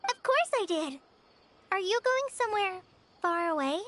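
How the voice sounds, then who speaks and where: A shy, eager young apprentice. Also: female voice, very high-pitched, lively intonation, normal speed, very noisy. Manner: hesitant, stammering, earnest. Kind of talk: video game voice acting.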